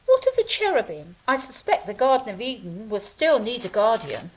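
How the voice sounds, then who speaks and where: A woman playing an idealistic, earnest angel in an audio drama, speaking in a measured, philosophical way. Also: a little high, wildly swooping pitch, a little hiss or hum, rough recording. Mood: solemn. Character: idealistic, earnest female angel, wistful and stately. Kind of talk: stage-style audio drama acting.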